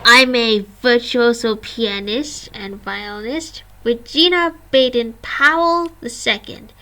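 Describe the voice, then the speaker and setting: A woman's voice: fairly high pitch, takes it slowly, bright, forceful tone. A woman in her twenties, a vlogger reading a scripted history and reciting long lists of names and dates. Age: 20s.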